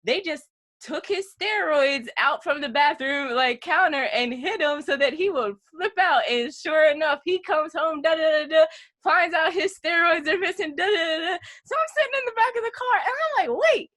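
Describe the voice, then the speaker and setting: A woman's voice: high voice, moderate pace, a bit bright, no echo, studio quality. A woman co-hosting a podcast, telling stories in a chatty way, laughing and saying "like" a lot. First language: not Portuguese; Portuguese is her third language. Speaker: podcast co-host. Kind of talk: casual podcast storytelling.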